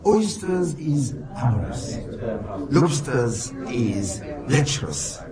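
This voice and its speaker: An echoey, crowded party surrounds a jovial foreign raconteur in a radio play. He speaks as a with lively, amused intonation. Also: mid-range voice, slow, distant mic. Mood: amused, convivial. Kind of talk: British radio drama dialogue. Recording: roomy echo amid chattering party crowd.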